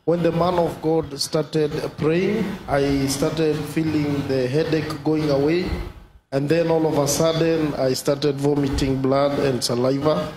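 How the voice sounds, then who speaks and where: A man's voice: on the high side, fairly even intonation, unhurried. A man giving a plain testimony in a church hall. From Kenya. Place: large indoor church hall. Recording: echoey hall with crowd noise.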